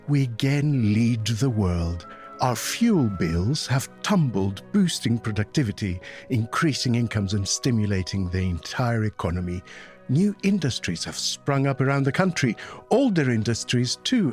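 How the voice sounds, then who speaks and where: A grand, pompous patriotic orator, played by a man gives a dramatic reading. The mid-pitched voice is animated and declamatory, proudly triumphant, with faint music behind. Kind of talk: dramatic reading of a political essay.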